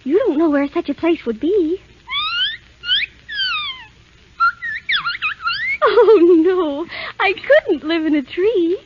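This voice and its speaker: A woman's voice: very high voice, lively intonation, a little slow, heavy background noise. A woman playing a sweet, innocent young princess in an old-time radio drama, girlish, polite and theatrical. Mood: relieved, sweet. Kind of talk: 1930s radio drama acting. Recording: muffled vintage radio, loud music behind.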